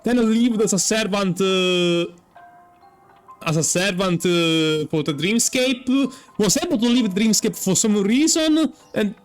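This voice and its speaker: Game streamer, casual reactions, halting delivery, irreverent, faint music behind. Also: mid-range voice, animated. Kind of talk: casual reaction commentary over gameplay.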